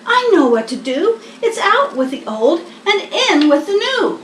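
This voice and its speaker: A woman's voice: very high voice, very animated, measured pace, a bit bright, pitched above the performer's own voice, heavily acted. A woman voicing a small, helpful wren from a picture book, chirpy and encouraging. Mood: eager, helpful. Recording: echoey room, distant mic, faint noise.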